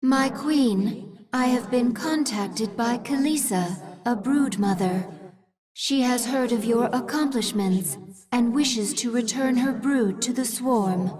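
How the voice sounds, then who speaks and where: A woman's voice: on the high side, crowd noise. Formal female adviser, murmurs behind. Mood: dutiful. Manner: formal, measured. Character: loyal, measured female adviser to an alien queen. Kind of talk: video game cutscene acting.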